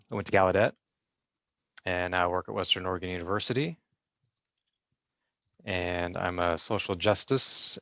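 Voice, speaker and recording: A man voices a deaf guest's answers in the first person over a video call, his speech measured and full of fillers and restarts. His voice sits a little low. The audio is dry and muffled. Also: a bit bright, dry recording. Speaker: sign language interpreter voicing a deaf guest. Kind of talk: first-person interpreting in an interview.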